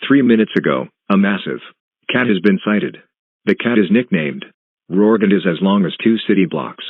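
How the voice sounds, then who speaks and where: A man reading a scripted emergency broadcast announcement in a formal, flat, instructional manner. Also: low-pitched, normal speed. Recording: tinny phone-like band, echoing.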